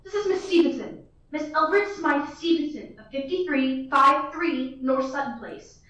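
A woman's voice: high-pitched, a little slow, a bit bright. A young woman acting a fretful, demanding wealthy woman on the telephone in a school radio play, indignant and insistent. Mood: agitated. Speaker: student actor. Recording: dull, roomy, from far off.

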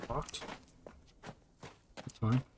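A young man casually commenting as he plays a video game, musing quietly to himself. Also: drawn-out words, noisy background. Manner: mumbled, low-key musing to himself. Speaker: gaming streamer. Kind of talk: relaxed let's-play commentary.

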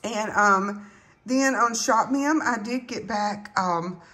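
A woman chatting casually to viewers as a couponing vlogger, in a halting, rambling way. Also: medium pitch, sharp tone, husky. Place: indoors at home.

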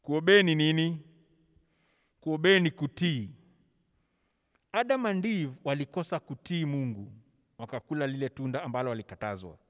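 High-pitched Kenyan man telling Bible stories. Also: lively intonation, moderate pace, long pauses. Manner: theatrical, acting out dialogue with stammered repetitions; code-switches casually between languages. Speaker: church school teacher. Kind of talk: animated Bible storytelling for children. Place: large church hall, on stage. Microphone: handheld microphone, held close over face mask. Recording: long hall reverb.

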